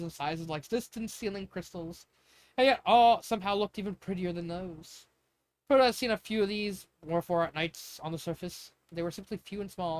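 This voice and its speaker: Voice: medium pitch, rough recording. An amateur storyteller reads fan fiction with dramatic intent. The delivery is informal and stumbles now and then, with words corrected on the go.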